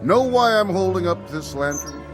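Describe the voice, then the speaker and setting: A man's voice: sits high, expressive, moderate pace, over music. An actor playing a glib travelling medicine salesman with grandiose, theatrical patter in an old TV drama. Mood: grandiose pitching. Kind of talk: old television drama acting, sales spiel.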